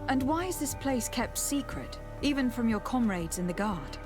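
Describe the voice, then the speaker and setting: A woman's voice: on the high side, very animated, moderate pace, over music. An actress playing a poised, diplomatic noblewoman in a video game, speaking in a polite, formal, inquisitive way.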